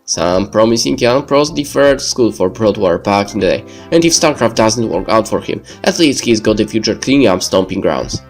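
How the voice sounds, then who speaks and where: A man's voice: lowish voice, animated, moderate pace, few pauses. Man narrating a visual novel over music. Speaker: video game let's player.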